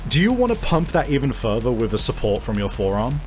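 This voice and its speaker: A man running a tabletop roleplaying game as game master, narrating in a casual, excitable, sweary way. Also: mid-range voice, quick pace. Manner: casual, profane, excitable storytelling. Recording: music playing behind the voice. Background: background music.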